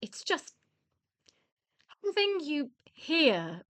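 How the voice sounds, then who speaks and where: An actress playing an exasperated, matter-of-fact British widow in a radio play, with a dry, brisk manner. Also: female voice, high-pitched, very animated, a bit bright, dry recording, heavily acted. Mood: weary, apologetic. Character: exasperated, matter-of-fact widow nagged by her dead husband.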